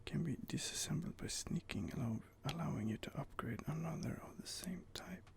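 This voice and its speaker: Guy whispering his way through a game, musing, bit high pitched, some gravel. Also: animated, a little fast. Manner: soft whispering, casual and musing. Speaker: gaming ASMR creator. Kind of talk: whispered ASMR gameplay commentary.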